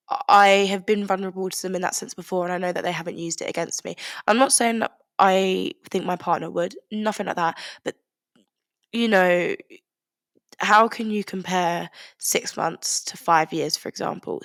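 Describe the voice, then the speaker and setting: A woman's voice: on the low side, no echo, clean studio sound. A young woman, a bit congested and bunged up, talking candidly and rambling on her own podcast. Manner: candid, rambling, with many likes and restarts. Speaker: podcast host. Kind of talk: personal podcast monologue.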